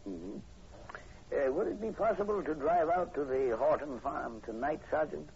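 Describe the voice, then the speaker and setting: An actor playing an inquisitive university professor in a 1940s radio horror drama, measured and probing, with hesitant, deliberate phrasing. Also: male voice, on the high side, lively intonation, gravelly, darkish tone. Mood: probing, thoughtful. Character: inquisitive male university professor investigating eerie killings. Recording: muffled, hissy old radio recording.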